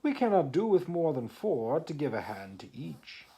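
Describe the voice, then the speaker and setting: Reader voicing smooth-talking walrus, coaxing, swooping lilt, warm storybook tone. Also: medium pitch, very animated, normal speed, pitched above the performer's own voice. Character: sly sweet-talking walrus, falsely tender.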